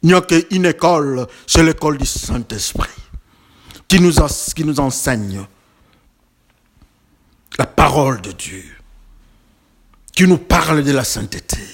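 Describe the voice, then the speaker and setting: A man's voice: on the high side, animated, a little slow, long pauses. A man preaching a sermon with emphatic, building repetitions and rhetorical questions, slightly congested from a cold.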